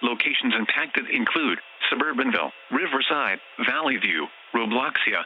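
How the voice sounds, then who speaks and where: A man's voice: medium pitch, animated. Synthetic male alert announcer, muffled and noisy. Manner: formal, measured delivery. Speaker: automated emergency alert announcer. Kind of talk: scripted emergency weather warning read-out. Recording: muffled lo-fi broadcast, clear background noise.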